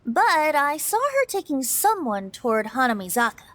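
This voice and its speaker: High, lively, playful youngster. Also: female voice, moderate pace, no echo. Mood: breezy. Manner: light, playful, animated. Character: breezy, playful, youthful game character. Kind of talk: video game voice acting.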